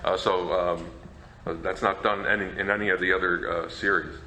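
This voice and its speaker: A man giving an informal talk as a presenter, in a halting, stammering manner. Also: low voice, fairly even intonation, bright, forceful tone. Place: presentation room at a convention. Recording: echoey room, faint noise.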